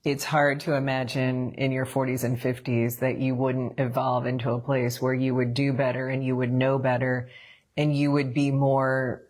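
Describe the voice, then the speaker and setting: A woman's voice: a bass voice, flat delivery, normal speed, darkish tone. A woman, co-hosting a casual morning talk show and chatting, laughing easily. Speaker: co-host.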